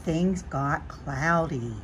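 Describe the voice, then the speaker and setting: A woman reading a children's picture book aloud in an expressive storytelling style. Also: deep voice, very slow, slight background noise. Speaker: storybook reader.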